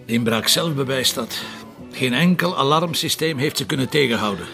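A man's voice: medium pitch, moderate pace, gravelly, pushed and bright, faint music behind. A man playing a stubborn, wry, distrustful police commissioner with a Flemish accent in a radio drama, speaking in a sardonic, contrary way. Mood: insistent. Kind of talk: naturalistic radio drama dialogue.

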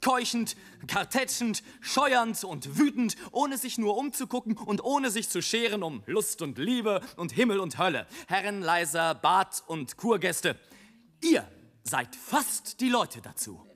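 An actor playing a sardonic airship traveller who looks down on mankind, mocking and oratorical in a literary radio play monologue. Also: male voice, sits high, very animated, unhurried, fairly bright tone, heavily acted. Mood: haranguing, mock-solemn. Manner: mocking, oratorical, rolling long sentences. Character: sardonic male airship traveller, grimly mocking his fellow men.

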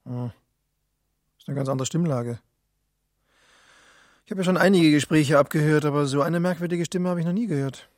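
A man's voice: on the high side, moderate pace, long pauses, no room sound, studio quality, natural voice. An actor playing a level-headed man who replays a taped call and asks plain questions, in a radio drama. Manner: calm, matter-of-fact questions. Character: level-headed male tech helper.

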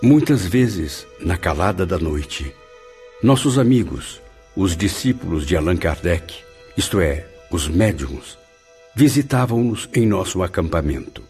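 A man's voice: low voice, expressive, unhurried, a little mellow, soft background music. An actor playing a repentant aristocrat's spirit, narrating his memories in a solemn, theatrical radio drama style. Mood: solemn, reflective.

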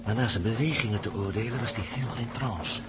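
An actor in a Dutch radio play playing a tough, impulsive adventure hero with a gruff, sardonic, urgent manner. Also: male voice, lowish voice, quick pace, very noisy, close to an everyday voice. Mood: tense reporting.

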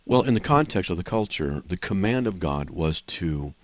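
A man's voice: sits low, expressive, moderate pace. A man hosting a call-in radio show, explaining scripture to callers in an assertive, teaching manner. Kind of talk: call-in radio Bible answers.

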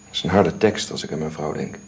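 A man acting in a radio drama as a sorrowful, gentlemanly husband, speaking haltingly and reflectively. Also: very deep voice. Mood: grieving, bitter. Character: sorrowful husband of a dying woman, gentlemanly and brooding.

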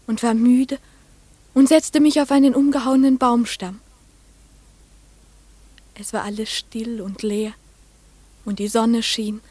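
A woman's voice: fairly high pitch, a little mellow, no echo. An actress playing a farmer's young daughter, innocent and earnest, telling her story simply in a radio play monologue.

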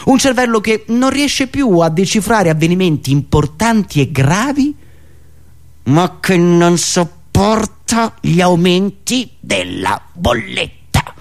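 A man delivering a satirical political monologue in an indignant, sarcastic, declamatory manner with dramatic pauses and repetitions. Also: high-pitched, sing-song. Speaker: satirical monologist and radio show host.

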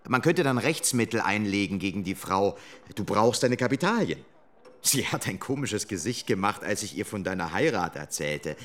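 A shrewd young lawyer in a radio drama. The voice sits fairly low but moves in lively swoops, knowing and needling. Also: male voice, moderate pace. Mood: probing.

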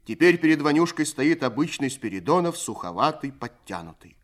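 A man narrating a literary story for a radio play in a measured, expressive storytelling manner. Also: on the high side.

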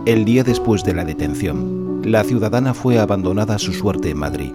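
A sober, grave male narrator played by an actor with a low voice, recounting events in a scripted radio drama. Loud guitar music plays behind him. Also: expressive, normal speed, close to an everyday voice.

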